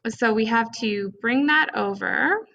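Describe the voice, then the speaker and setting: A woman with heavy vocal fry and a bright, fairly high voice walks through software steps as a tutorial presenter. Her delivery is informal and conversational. The recording is somewhat dull and lo-fi. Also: unhurried. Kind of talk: casual step-by-step software screencast tutorial. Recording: dull, lo-fi microphone sound.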